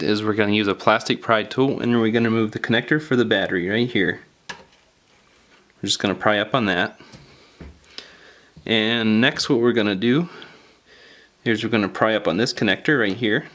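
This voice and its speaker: A man with a fairly low voice walks through a hands-on repair tutorial. He is informal and plain-spoken, explaining each step casually and often repeating his phrasing. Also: a bit bright, slight background noise.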